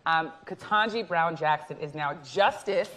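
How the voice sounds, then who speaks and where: Woman, thirties, first language Haitian Creole, lively festival-stage interviewer, lapel mic. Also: deep voice, measured pace, very noisy. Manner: lively, chatty, laughs easily. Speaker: interviewer, journalist. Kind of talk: onstage festival conversation.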